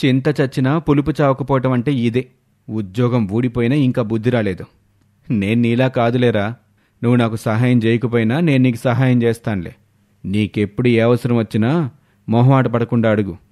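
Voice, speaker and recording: A man's voice: mid-range voice, fairly even intonation, normal speed, mellow, close to an everyday voice. A man playing a callous, mocking elder son in a cartoon, condescending and dismissive.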